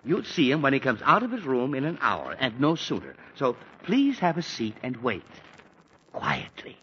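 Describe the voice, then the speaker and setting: A man's voice: mid-range voice, huge pitch swings, normal speed. A blustering, fed-up radio-play father. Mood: exasperated. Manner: blustering, fussy and easily annoyed. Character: exasperated middle-aged father with a headache. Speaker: father of a teenage son. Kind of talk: 1940s radio acting. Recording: dull, faintly hissy 1940s radio recording.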